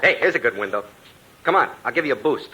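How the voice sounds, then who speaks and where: A man's voice: mid-range voice, lively intonation, fast, sharp tone. A man playing a scrappy, cheeky sidekick in 1950s radio comedy, talking like a slangy wise guy. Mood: scheming. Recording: very noisy old radio recording.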